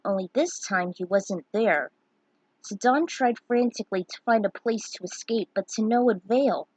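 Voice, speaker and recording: A woman's voice: mid-range voice, darkish tone, slightly rough, no room sound, rough recording. A woman reading a scripted fantasy story aloud as its narrator. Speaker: narrator reading own fan fiction.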